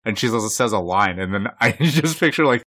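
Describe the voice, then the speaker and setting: A man's voice: lowish voice, lively intonation, brisk, a bit bright. A man co-hosting a film podcast in easygoing chat, steering the talk with questions. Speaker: podcast co-host.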